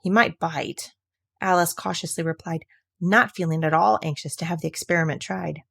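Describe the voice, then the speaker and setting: Creaky-voiced woman reading a storybook. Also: lowish voice, fairly even intonation, a little fast, fairly bright tone. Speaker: audiobook reader. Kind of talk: scripted storybook narration.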